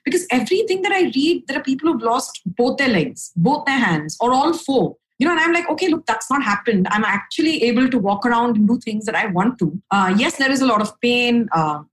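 A young adult woman from India, a podcast guest speaking frankly and upbeat, with a low voice for a woman and casual fillers. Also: very animated, brisk, few pauses, rough recording. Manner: frank, upbeat, lots of fillers and "right?" tags. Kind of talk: candid conversational storytelling.

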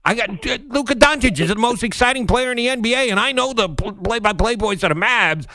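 A man's voice: very high-pitched, animated, fast talker, bright, forceful tone, no echo, clean studio sound. An older man hosting a sports radio interview, talking informally in a chummy, bantering way. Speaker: sports talk radio host.

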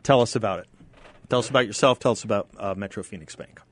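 A man's voice: lowish voice, fast talker. A man hosting a radio talk show, interviewing guests with friendly, brief interjections. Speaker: radio host.

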